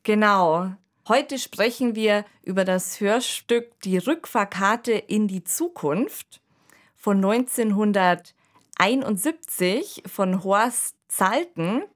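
A woman's voice: mid-range voice, animated, slow. A woman co-hosting a podcast, talking enthusiastically and colloquially in a conversational discussion. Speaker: podcast co-host. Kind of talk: conversational discussion of science fiction.

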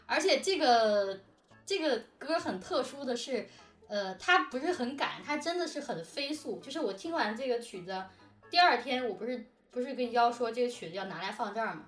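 Talkative woman, slightly high voice, roomy sound. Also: animated, moderate pace, distant mic, soft background music. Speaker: audio drama production team member and lyricist. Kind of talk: casual behind-the-scenes chat.

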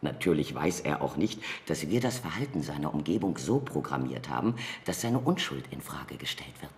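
A very low male voice belongs to a cold, methodical justice official. He is clinical and insistent, with a lively melody to his measured speech. Faint noise sits behind him. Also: heavily acted. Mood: clinical, explaining. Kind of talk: German radio drama acting.

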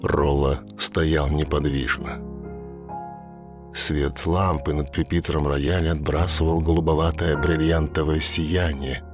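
A man narrating an audio drama in a literary, scripted storytelling style. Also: a bass voice. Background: piano music.

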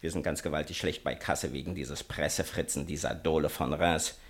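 An actor playing an indignant, pompous literary gentleman in a radio drama, accusing with sneering, grandiloquent phrasing. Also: extremely low pitch, fairly even intonation, fairly quick. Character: indignant, pompous male man of letters accusing a rival. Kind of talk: theatrical radio drama acting.

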